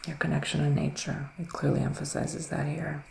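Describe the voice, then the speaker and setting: A man plays a gentle psychic witch in an ASMR roleplay, his voice heavily creaky and breathy, drifting between soft talk and whispering. He is soothing and unhurried in manner. The room adds noticeable echo, with faint noise underneath. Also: on the high side, little pitch movement. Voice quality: breathy, often slipping into whisper. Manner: slow, hushed, soothing and reassuring. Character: gentle psychic male witch giving a reading. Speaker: ASMR performer playing a psychic reader. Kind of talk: ASMR roleplay, improvised tarot reading.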